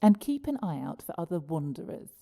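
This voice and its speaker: An actress playing a cold, authoritative care-home boss in an audio drama, callous and menacing. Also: female voice, fairly low pitch, very animated, a little fast, vocal fry, dark tone, full character voice.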